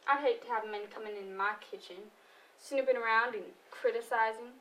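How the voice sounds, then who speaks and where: Blunt farm wife, higher-voiced, distant actress. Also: female voice, brisk, a bit bright, close to an everyday voice. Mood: dry, defensive. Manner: dry, folksy. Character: blunt, defensive farm wife, loyal neighbor woman. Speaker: stage actor. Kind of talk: amateur stage play dialogue. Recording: distant microphone, noticeable room noise.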